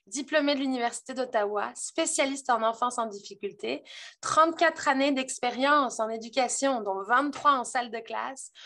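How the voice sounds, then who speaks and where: A woman's voice: on the high side, a bit bright. A woman hosting a virtual interview, reading a prepared introduction with many hesitations. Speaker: interview host.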